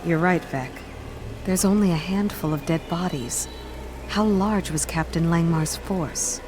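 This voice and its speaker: A woman playing a steady, earnest heroine and leader in a fantasy video game, speaking directly. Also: fairly low pitch, unhurried, background noise, lightly acted. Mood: serious. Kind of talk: video game cutscene acting. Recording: voice over loud cinematic music.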